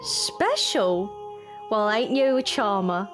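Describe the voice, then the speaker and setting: A woman's voice: medium pitch, big pitch swings, slow pace, over music. An actress playing a teasing, wry, streetwise young woman among London thieves in an audio drama. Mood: playful.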